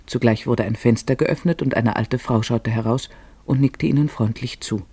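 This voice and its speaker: Dry, husky male storyteller. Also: medium pitch, normal speed, a little mellow, no room sound. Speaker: narrator. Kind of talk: scripted literary narration for a radio play.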